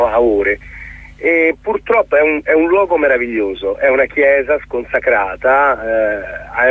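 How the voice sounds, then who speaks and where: A middle-aged man giving a reflective radio interview as a theatre guest, articulate but often pausing to search for words. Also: mid-range voice, breathy edge. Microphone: telephone. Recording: muffled, thin telephone line on radio.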